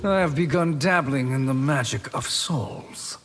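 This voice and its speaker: An actor playing a sly, haughty sorcerer in a fighting game, formal, measured and smug. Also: male voice, medium pitch, big-room sound, loud music behind the voice, close to an everyday voice. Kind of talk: video game fighter dialogue.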